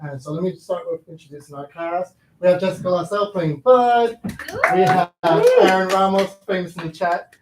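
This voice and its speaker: A man's voice: sits high, lively intonation, moderate pace. A man speaking as a playwright at a live staged reading, giving introductions and narrating stage directions. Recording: echoey room with background noise.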